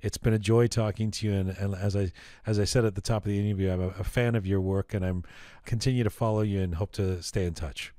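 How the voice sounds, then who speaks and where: A man's voice: lowish voice, steady tone, fast talker, few pauses, no echo, studio quality. A man hosting a podcast, asking questions in an effusive, chatty way and often repeating his words. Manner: effusive, warm praise, repeats himself. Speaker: podcast host, interviewer. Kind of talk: conversational interview.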